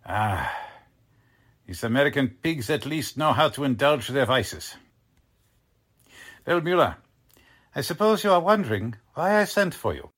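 Radio drama role: imperious spymaster, husky edge, highly animated pitch. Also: male voice, full character voice. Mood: smooth, formal. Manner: imperious, theatrical, deliberate. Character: haughty, scheming German military officer and Nazi spymaster. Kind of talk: 1940s radio drama acting.

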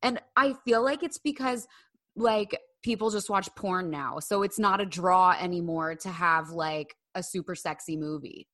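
An American woman co-hosting a podcast in casual, slangy chat, excitable and quick to mock herself. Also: on the low side. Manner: excitable, self-mocking, slangy. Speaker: podcast co-host. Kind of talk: casual pop-culture chat.

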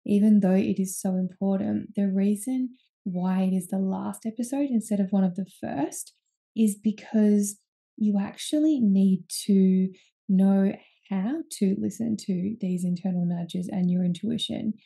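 A woman's voice: mid-range voice, measured pace, creaky, mellow. A young woman hosts her own podcast, talking casually and candidly with frequent ums and restarts. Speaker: podcast host. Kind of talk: casual solo podcast talk.

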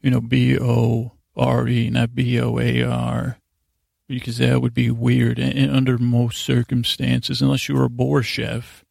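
A male podcast host tells a drowsy, wandering bedtime ramble in a heavily gravelly, mellow voice. His diction is loose and a little slurred, and he circles back on himself in halting tangents. The sound is close and dry, with faint music underneath. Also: mid-range voice, dry recording. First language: English. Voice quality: imprecise, slightly slurred diction. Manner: meandering, halting, repeats and trails off into tangents. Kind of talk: rambling bedtime storytelling meant to lull listeners to sleep.

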